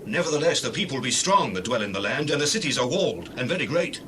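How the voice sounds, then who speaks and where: An actor playing a wary, discouraging Israelite scout, speaking emphatically in a dramatized scripture reading. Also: male voice, medium pitch, big pitch swings, fast, lightly acted. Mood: uneasy reporting. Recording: loud ambient background noise.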